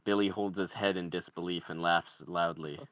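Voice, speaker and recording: Man, very low voice, dry close sound, narrator, reading screenplay directions. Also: steady tone, moderate pace, fairly bright tone, no echo.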